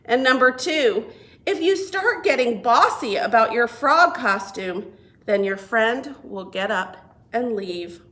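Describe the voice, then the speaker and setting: A woman with a fairly high voice reads a picture book to small children. Her delivery is very animated, with big swings in pitch. She takes her time, pacing each phrase slowly and deliberately in an expressive storytime style. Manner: expressive, deliberate, asks listeners questions. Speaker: children's librarian and storytime reader. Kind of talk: reading a picture book aloud to young children.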